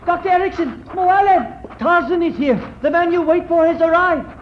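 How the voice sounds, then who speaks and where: A man playing a wary, excitable Egyptian camp servant with formal, deferential speech in a 1950s radio adventure. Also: extremely high pitch, moderate pace. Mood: excited. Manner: formal, wary, eager to serve. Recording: dull, noisy 1950s radio recording.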